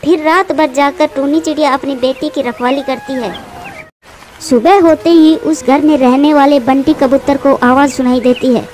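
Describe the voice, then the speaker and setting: A woman's voice: sits high, steady tone, normal speed, a little mellow. A woman storyteller reading scripted narration for a children's animal tale.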